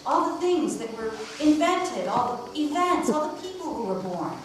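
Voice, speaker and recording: A woman playing a serene, gentle rabbit in a live amateur stage play, speaking in a measured, friendly way. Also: high voice, sing-song. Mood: gentle, musing. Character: serene, gentle rabbit, moon-dwelling zodiac animal. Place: live performance in a roomy indoor space. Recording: echoey noisy hall from far off.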